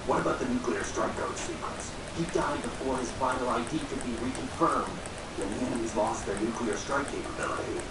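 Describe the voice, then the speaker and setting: An actor playing earnest, urgent soldiers in a military spy game's dramatic cutscenes. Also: male voice, medium pitch, brisk, far from the mic, very noisy, natural voice. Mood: urgent, serious. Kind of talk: dramatic video game cutscene voice acting. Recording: echoey game audio with chatter over it.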